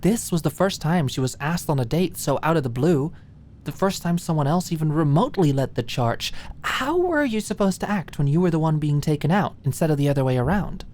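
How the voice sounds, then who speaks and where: A woman's voice: deep voice, animated, brisk, darkish tone. A woman reading scripted story narration for an ASMR-style audiobook.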